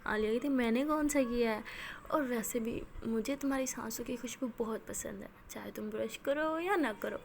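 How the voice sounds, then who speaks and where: Brief: woman reading a teasing, affectionate young woman, higher-pitched, faint background noise. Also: creaky.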